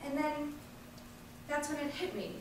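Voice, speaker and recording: A woman giving an earnest, emphatic dramatic reading of a first-person monologue, with deliberate pauses. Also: high voice. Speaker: reader performing an activist's first-person testimony. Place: large indoor event space, distant microphone. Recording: echoey hall from far off, faint noise.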